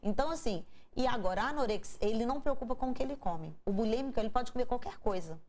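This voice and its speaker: A woman's voice: fairly high pitch, expressive, quick pace, darkish tone. Woman doctor explaining patiently. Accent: Minas Gerais Portuguese. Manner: didactic, explains with examples and asks rhetorical questions. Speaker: doctor guest. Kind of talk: explanatory interview talk.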